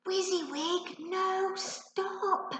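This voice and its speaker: A woman voicing an excitable, put-upon schoolboy in a children's storybook. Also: extremely high pitch, drawn-out words, echoey room, pitched above the performer's own voice, exaggerated voice. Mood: desperate. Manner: pleading, muttering. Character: schoolboy, desperate, embarrassed and miserable.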